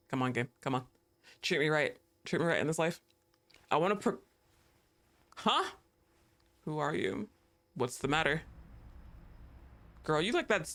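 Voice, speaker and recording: Excitable, swearing male game streamer. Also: fairly high pitch. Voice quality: excitable, profane, quick to laugh, talks to the game. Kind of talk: live gameplay commentary.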